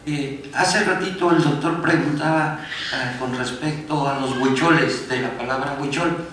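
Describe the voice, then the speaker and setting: A man in his fifties, politely asking halting questions from the audience. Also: medium pitch, a bit bright. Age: 50s. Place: festival screening hall. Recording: echoey hall, distant mic, faint noise.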